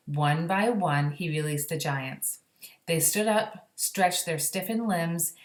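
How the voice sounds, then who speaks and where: A woman with a slightly low voice reads a storybook aloud. She pauses for casual, explanatory asides, and the room sounds echoey. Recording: roomy indoor echo.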